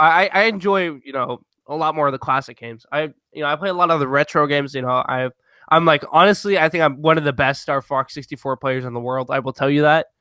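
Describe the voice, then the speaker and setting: A young man chats on a casual gaming podcast in a fairly high, bright voice. He talks loud and excitable, full of mock outrage and bragging. The sound is close and dry. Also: smooth, no room sound. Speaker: podcast co-host.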